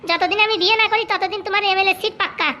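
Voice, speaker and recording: A woman's voice: very high voice, steady tone, sharp tone. A woman voicing a cunning, boastful young male political fixer in a comic cartoon, brash and bossy, with a rural Bengali accent. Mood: smug, cocky. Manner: brash, bossy, fast-talking.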